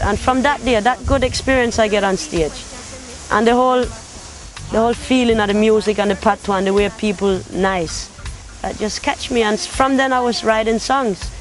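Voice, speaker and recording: A woman's voice: a little high, rough recording. Young woman with patois turns. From Denmark. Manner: talkative storytelling with patois phrasing. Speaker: competition finalist. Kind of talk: casual interview.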